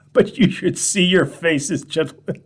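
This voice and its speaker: A man reading a horror story in the voice of an unhinged drunk man confessing to strangers, husky and often whispering, veering from hushed murmurs to sharp mocking rises. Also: a little high, slow. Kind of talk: first-person horror monologue reading.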